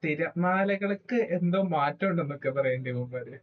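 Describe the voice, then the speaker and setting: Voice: medium pitch, moderate pace, phone-quality sound. A gamer with a Kerala accent chatting casually during online roleplay, over a noisy voice chat with clicks. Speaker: player streaming a game.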